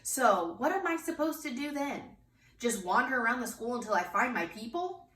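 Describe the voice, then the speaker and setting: Woman voicing an animated, dramatic little kindergarten girl. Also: a little high, normal speed. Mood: puzzled, insistent. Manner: emphatic, childlike grammar. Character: outspoken, dramatic kindergarten girl, almost six. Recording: echoey room, distant mic, faint noise.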